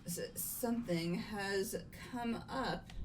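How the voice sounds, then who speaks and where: A woman playing a composed but uneasy young lady in an amateur video game dub, speaking formally and haltingly. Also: medium pitch, natural voice, low-quality recording. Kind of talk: amateur voiced playthrough of a mystery game.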